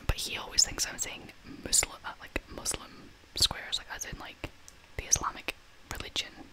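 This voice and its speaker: A young woman whispering in an unhurried, informal, chatty way, as in an ASMR shopping haul. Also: poor audio. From half Scottish, half American. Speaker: vlogger showing purchases.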